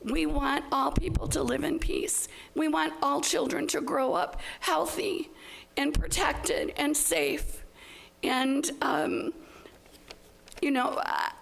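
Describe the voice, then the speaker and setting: A woman with a notably high voice and plenty of creak in it. She speaks as a member of the public at a council meeting, in a plain, halting way. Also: fairly bright tone, low-quality recording. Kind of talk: public comment speech, partly read out.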